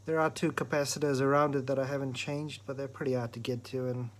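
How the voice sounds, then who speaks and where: Male presenter, informal hands-on tech demo, explains methodically in a mid-pitched voice. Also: little pitch movement, quick pace. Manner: explanatory, step by step. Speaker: presenter of an electronics repair demo. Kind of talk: informal technical demonstration.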